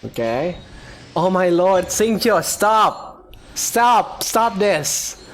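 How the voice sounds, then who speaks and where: A man giving casual, expressive let's-play commentary, often repeating his phrases. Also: high voice, very slow. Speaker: gaming video creator.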